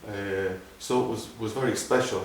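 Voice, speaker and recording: A middle-aged man with a Dublin Irish accent, answering interview questions in a halting way, with many restarts. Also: fairly low pitch, steady tone, a little hiss or hum. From Ireland. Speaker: guest, doctor. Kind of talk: conversational interview. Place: museum room. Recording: echoey room, from a distance.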